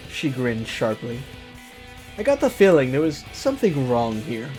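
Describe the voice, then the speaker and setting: A woman's voice: a bass voice, sing-song, moderate pace, warm tone. A woman hosting a gaming show, chatting casually with playful asides and reading a visual novel aloud. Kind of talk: casual let's-play chat and dramatic read-aloud of a visual novel. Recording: guitar game music behind the voice. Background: guitar game music.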